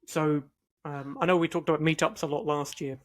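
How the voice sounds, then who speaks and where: A man co-hosting a podcast, chatting casually with easygoing banter and quick agreeable interjections. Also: on the high side, steady tone. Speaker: podcast co-host. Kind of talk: casual chat.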